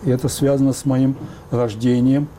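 Older man with a very dark, mellow voice. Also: medium pitch, flat delivery, moderate pace, a little hiss or hum, rough recording. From Azerbaijan (Baku). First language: Azerbaijani and Russian. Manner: meandering, thoughtful, many fillers. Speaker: interview guest. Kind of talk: reflective interview talk.

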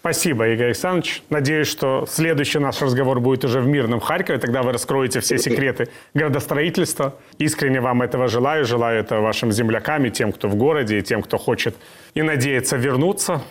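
A man hosting a radio and TV interview, asking questions and closing the program in a measured, formal way. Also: mid-range voice. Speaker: interview host.

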